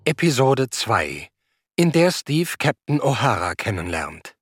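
A man's voice: medium pitch, very animated, measured pace, husky, no echo, clean studio sound. A man announcing a radio play in scripted narration. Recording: loud music under the voice. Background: loud music.

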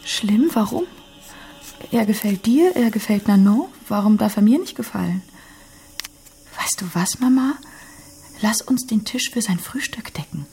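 An actress playing a tender, impulsive young daughter in love, earnest and urgent, in a German radio drama. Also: female voice, medium pitch, lively intonation, a little slow, pauses often, mellow, faint music behind. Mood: tender, pleading.

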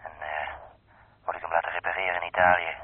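A man playing a worried, gruff haulage boss in a radio drama. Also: low-pitched, little pitch movement, fast talker, no room sound. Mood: grumbling, pondering. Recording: muffled, narrow-band old radio recording.

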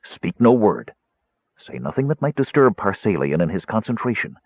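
A stern wizard of fantasy storybooks, played by a man, speaks in soft, deliberate commands. The voice is low and husky, recorded dry with a narrow, muffled band. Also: very animated, no room sound, heavily acted. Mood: solemn warning. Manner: soft, measured, hushed orders. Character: stern red-robed male mage, commanding and solemn.

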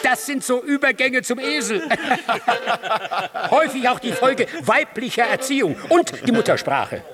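An actor playing a pompous, cruel doctor in a radio drama, lecturing students in a declamatory, mocking manner. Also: male voice, very high voice, lively intonation, measured pace, a loud crowd.

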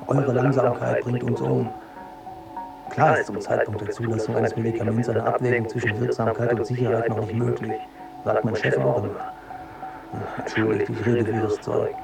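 A man's voice: mid-range voice, little pitch movement, moderate pace, gravelly, loud music behind the voice, lightly acted. An actor playing an anxious, defensive male hypochondriac in a radio drama, rambling and self-justifying. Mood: aggrieved, anxious.

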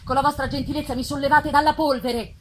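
A woman's voice: sits high, fairly even intonation, close to an everyday voice. A woman voicing an anguished, vengeful outcast male monster in an impassioned, theatrical gothic reading. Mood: grateful, pleading. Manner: impassioned, theatrical, drawn-out emphasis. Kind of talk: dramatic gothic novel reading. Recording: live festival recording with background noise.

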